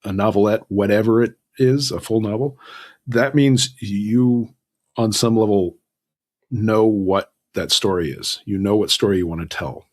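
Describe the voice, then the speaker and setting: A man, a guest author, giving reflective, halting advice in a conversational interview. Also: lowish voice, a little mellow. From Southern California, United States.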